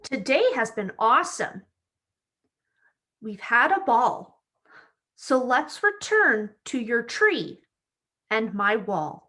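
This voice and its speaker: A woman's voice: on the high side, sing-song, slow pace, pauses often, bright, forceful tone, exaggerated voice. A woman voicing an eager, cheerful young stick character from a children's storybook in an exaggerated sing-song way.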